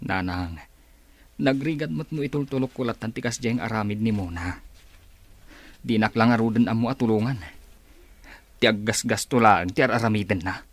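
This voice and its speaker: A man's voice: lowish voice, normal speed, pauses often, close to an everyday voice. A man playing a troubled, defensive married son with an Ilocano accent in a radio drama. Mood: weary, defensive. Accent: Filipino, Ilocano. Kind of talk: Philippine radio drama acting.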